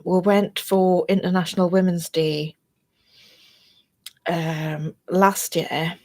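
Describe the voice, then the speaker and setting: A woman with a North East English accent chatting to camera in a meandering way, her throat a little froggy and chesty. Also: fairly low pitch. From North East England. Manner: chatty and meandering, drifting between topics. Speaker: vlogger. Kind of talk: casual chat to camera.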